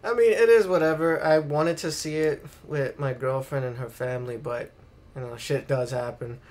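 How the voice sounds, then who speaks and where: A man's voice: medium pitch, steady tone, normal speed, a little mellow. A man co-hosting a casual live stream chat in a blunt, offhand way. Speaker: podcast co-host. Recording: slight background noise.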